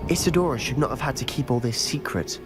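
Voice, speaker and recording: A man's voice: medium pitch, fast talker, music in the background, lightly acted. A man playing an eager teenage wizard schoolboy in a cinematic fantasy game. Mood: reflective.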